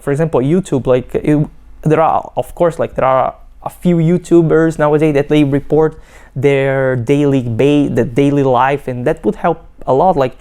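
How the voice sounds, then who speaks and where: A young man from Brazil with a Brazilian Portuguese accent, a PhD student giving a halting testimonial full of fillers and restarts. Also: a little high, dark tone, clear, resonant tone. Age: young adult. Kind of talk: testimonial interview.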